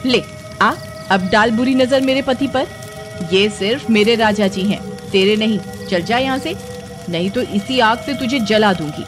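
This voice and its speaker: A woman's voice: on the high side, measured pace, over music, lightly acted. A woman voicing a bold, feisty village wife who scolds and teases in an assertive manner. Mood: defiant. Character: bold, feisty village wife protecting her timid husband.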